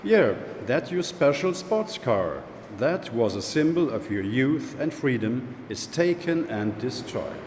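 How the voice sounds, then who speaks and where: A man reading an interview script aloud in an animated, conversational way. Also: mid-range voice, slow pace, few pauses. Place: gallery room, indoors. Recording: echoey gallery room, faint noise.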